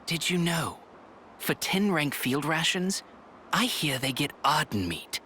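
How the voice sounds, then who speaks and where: A man with a British accent voicing a cynical, gossipy, complaining low-ranking soldier in a video game. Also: very animated, measured pace, sharp tone, slight background noise, full character voice. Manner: colloquial, complaining. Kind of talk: scripted video game voice acting.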